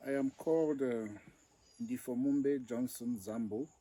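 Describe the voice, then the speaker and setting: A man from Cameroon, a ministry director, telling his story slowly and deliberately in an interview. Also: mid-range voice, mellow. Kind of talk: interview testimony.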